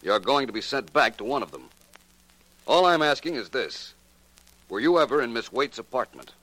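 An actor with an American accent playing a suave, assured detective in a 1940s radio drama, measured and pointed in delivery. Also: male voice, medium pitch, sing-song, fairly bright tone, no room sound, poor audio. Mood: cool, probing. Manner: measured, pointed, coolly deliberate. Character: suave, assured male amateur detective solving a murder. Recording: vintage 1940s radio recording.

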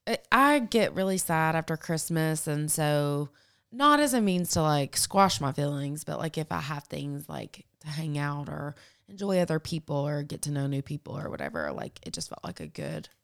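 Podcast co-host, woman in her thirties, low and gravelly, chatty storyteller. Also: normal speed. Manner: chatty, animated storyteller, laughs often. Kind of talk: casual chat.

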